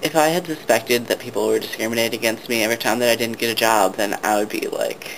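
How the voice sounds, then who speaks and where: A man's voice: mid-range voice, fast talker, gravelly, low-quality recording. A young man talking casually to the camera, hesitant and full of ums and restarts. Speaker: vlogger. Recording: steady background noise.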